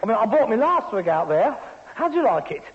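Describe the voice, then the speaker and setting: Sarcastic London rag-and-bone son, swooping high. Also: male voice, sing-song, quick pace, in a large hall. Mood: bitter sarcasm. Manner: sarcastic, mock-impressed. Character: sarcastic, resentful rag-and-bone man, the old man's son. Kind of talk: 1960s British radio sitcom acting. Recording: noisy, dull vintage radio recording.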